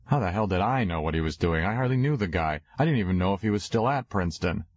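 A man with a deep voice reading a first-person story aloud in a wry, slangy manner. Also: expressive, quick pace, no echo, highs cut off. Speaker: audiobook narrator. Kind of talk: scripted first-person narration.

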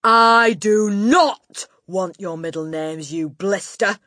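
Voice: mid-range voice, lively intonation, a little slow, fairly bright tone, no room sound, clean studio sound, pitched above the performer's own voice. A storybook narrator voicing a gigantic, tyrannical headmistress who barks and sneers insults with contempt. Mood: enraged. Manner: barking, bellowing, sneering insults.

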